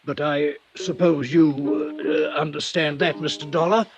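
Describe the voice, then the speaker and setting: A man's voice: on the high side, fairly even intonation, a little slow, music in the background. An actor playing a proud, fussy male violin maker, indignant and stammering, in a 1950s radio drama. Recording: noisy old radio transcription.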